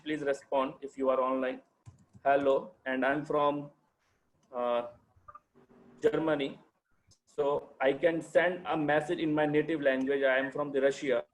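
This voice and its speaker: A man's voice: on the high side, level tone, measured pace, low-quality recording. A man with an Indian English accent giving a guided demonstration of a web platform, speaking deferentially to the host. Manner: deferential, calls the host sir.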